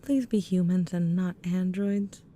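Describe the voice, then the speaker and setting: A woman's voice: fairly low pitch, a little slow, mellow, natural voice. An actress playing a protective, weary but affectionate young mother in an audio drama.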